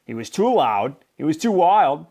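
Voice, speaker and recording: Animated man reading a children's storybook. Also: on the high side, moderate pace. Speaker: storybook reader. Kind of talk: expressive children's read-aloud narration.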